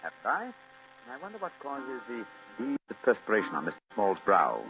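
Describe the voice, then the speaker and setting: An actor playing a commanding, shrewd British gentleman detective, clipped and theatrical, in a vintage radio drama. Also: medium pitch, wildly swooping pitch, fairly quick. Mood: cool, knowing. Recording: dull old radio recording, faint music.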